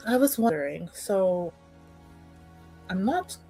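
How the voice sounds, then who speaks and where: A woman playing a dutiful young noblewoman in a tabletop game, speaking formally and with measured, dryly wry wording. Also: mid-range voice, big pitch swings, vocal fry, mellow, soft background music, heavily acted, poor audio. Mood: formal, guarded.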